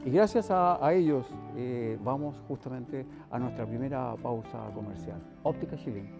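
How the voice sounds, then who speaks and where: A man's voice: mid-range voice, moderate pace, vocal fry, over music. A man with a Chilean accent hosting a television interview in a conversational way.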